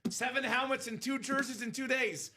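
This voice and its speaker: A young man from Bulgaria hosting a live sports card break stream, upbeat and joking, shouting out buyers by name. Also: extremely high pitch, steady tone, moderate pace, background noise. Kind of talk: casual live-stream sales chat.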